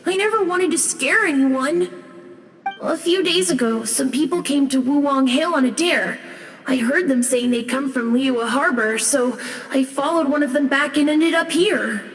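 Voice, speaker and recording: Timid, high-voiced little ghost boy. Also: a little slow, soft background music. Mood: apologetic. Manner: hesitant, apologetic. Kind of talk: English video game character dub.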